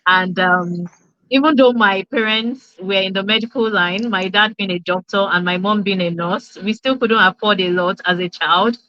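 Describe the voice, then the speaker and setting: A woman's voice: mid-range voice, normal speed, no echo. A young Nigerian woman with a Nigerian accent, speaking earnestly as a dentist guest in an interview, with many ums and restarts. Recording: dull lo-fi dry sound.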